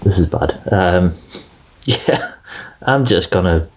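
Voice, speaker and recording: A man's voice: low voice, lively intonation, slow pace, slight background noise, poor audio. A man thinking aloud as a contestant, flustered and self-deprecating. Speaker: game show contestant.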